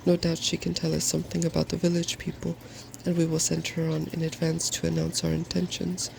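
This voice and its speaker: A man voicing a cheerful, wise, weary dragon in a soft-spoken storybook reading, polite and measured. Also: fairly high pitch, monotone, gravelly, a little hiss or hum, rough recording. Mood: cautious.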